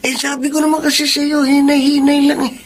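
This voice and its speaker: An actor playing a sly, cautious street drug dealer in a radio drama. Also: male voice, extremely high pitch, level tone, brisk, slight background noise. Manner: sly, teasing.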